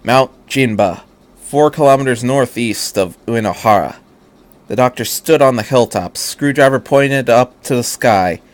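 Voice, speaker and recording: Guy reading an action story out loud, earnest and dramatic, middle pitched voice. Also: measured pace. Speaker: fan fiction reader.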